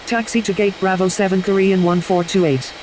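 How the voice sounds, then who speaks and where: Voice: medium pitch, steady tone, fairly quick, a little mellow, slight background noise, natural voice, low-quality recording. A performer voicing a businesslike airline pilot in a flight game, with scripted radio phraseology.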